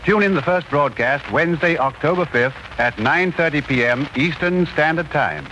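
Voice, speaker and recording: A man's voice: a little high, animated, normal speed. A man announcing an upcoming programme in the formal promotional style of 1930s radio. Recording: old radio recording with noise.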